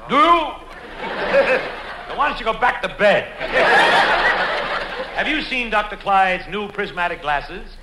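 A man playing a mock-earnest radio pitchman, putting insistent questions in a parody commercial. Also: exaggerated voice. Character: mock radio pitchman selling absurd remedies and products. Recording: noisy, echoey old live comedy recording.

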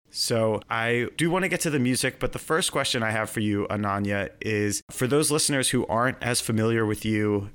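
A man's voice: fairly low pitch, fairly bright tone, clean tone. A man hosting an interview podcast, upbeat and effusive, praising his guest and asking questions. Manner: upbeat, effusive, laughs easily. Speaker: podcast host.

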